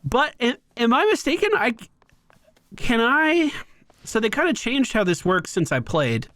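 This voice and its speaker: A man hosting a gaming video with playful, excited, casual commentary, joking along with the game. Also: extremely high pitch, a little slow, no echo, clean studio sound. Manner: playful, jokey, talks to the game.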